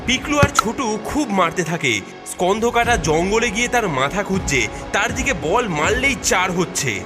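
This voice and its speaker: A man's voice: high voice, very animated, moderate pace, over music. A man narrating a children's cartoon story in a dramatic storytelling manner.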